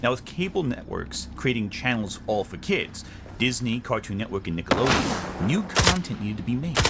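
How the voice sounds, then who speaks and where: A man's voice: medium pitch, big pitch swings, moderate pace, creaky, very noisy. A man delivering scripted video-essay narration with casual, wry, incredulous asides.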